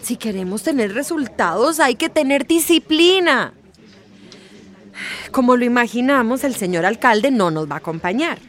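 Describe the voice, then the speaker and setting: A woman's voice: a little high, lively intonation, normal speed, lightly acted. An actress in a radio drama playing a bossy, scatterbrained committee woman with a fussy, imperious manner.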